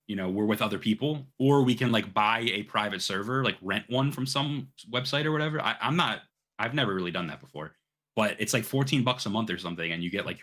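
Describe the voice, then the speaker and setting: A man's voice: lowish voice, pushed and bright. A man hosting a gaming podcast, chatting in an eager, informal way and swearing freely. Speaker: podcast host. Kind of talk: casual gaming podcast chat.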